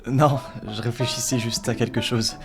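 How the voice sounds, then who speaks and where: Uneasy high-school boy played by a man: mid-pitched, hesitant, brushes things off, webtoon drama over clear music. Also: fairly even intonation, moderate pace, lightly acted. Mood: distracted. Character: evasive teenage schoolboy, nervous, downplaying his troubles. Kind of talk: amateur webtoon fan dub acting. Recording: voice under clearly audible music.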